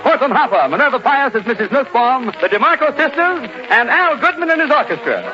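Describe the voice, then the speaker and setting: A man's voice: extremely high pitch, expressive, brisk. A man working as announcer and straight man in 1940s-style radio comedy. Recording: noisy, muffled vintage radio broadcast.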